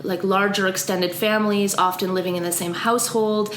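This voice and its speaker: A woman's voice: mid-range voice, steady tone, fairly quick, fairly bright tone, reverberant, slight background noise. A woman who grew up in Austria and Canada, speaking as a guest in an earnest, reflective interview. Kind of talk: conversational interview. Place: office.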